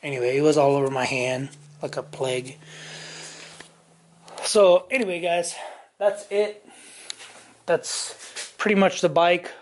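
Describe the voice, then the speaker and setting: A man casually talking through motorcycle parts in his home garage, rambling with many pauses and fillers, recorded on a handheld camera. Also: fairly high pitch, flat delivery, slight background noise. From California. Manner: rambling, many ums and restarts. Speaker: motorcycle vlogger. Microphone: handheld camera mic, off-screen.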